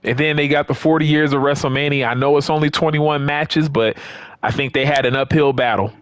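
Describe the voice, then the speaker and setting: Higher-pitched man in excited-fan vlog style. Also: fairly quick, clear, resonant tone, dry recording. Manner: emphatic, repeats phrases for stress; conversational, checks in with listeners. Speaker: gaming YouTuber. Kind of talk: casual, unscripted vlog commentary to viewers.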